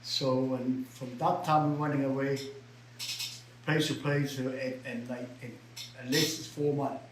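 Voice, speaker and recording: A man's voice: medium pitch, little pitch movement, a little hiss or hum. Older Vietnamese-accented man, echoey room. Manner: halting broken English, many repeats, rising to emphatic shouts. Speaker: interviewee. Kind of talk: personal storytelling.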